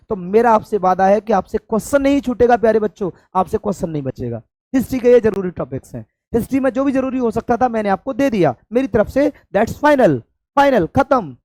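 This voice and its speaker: A hoarse man from India, teaching an exam-prep lesson and stressing points by repeating them. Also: very high-pitched, a little mellow, dry recording. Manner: addresses students affectionately, emphatic repetition. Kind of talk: classroom-style exam coaching lecture.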